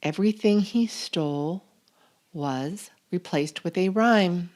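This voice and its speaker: A woman reading a children's picture book aloud as a storytime host, expressive and deliberate, drawing out key words. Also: fairly low pitch. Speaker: storytime reader and channel host.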